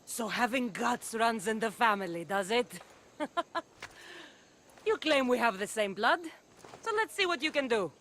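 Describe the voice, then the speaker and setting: Scornful, menacing demigod warrior, animated delivery. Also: female voice, fairly high pitch, unhurried, pauses often, a little hiss or hum. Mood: haughty menace. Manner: scornful, threatening, haughty. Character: menacing, contemptuous demigod warrior woman of a cult. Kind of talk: dramatic video game acting.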